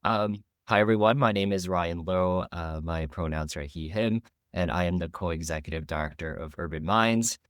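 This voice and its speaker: A man who runs a nonprofit, speaking on a webinar panel with a nasal voice from a cold. He explains his organization in a steady, informative way with frequent fillers. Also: deep voice, normal speed, clean tone, dry recording, clean studio sound. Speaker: nonprofit co-executive director. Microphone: video call.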